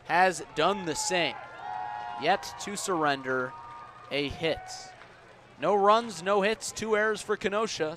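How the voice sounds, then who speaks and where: Male baseball radio announcer, slightly high voice, measured but lifting on the action, faint outdoor ballpark noise behind. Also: a bit bright. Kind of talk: live radio sports commentary.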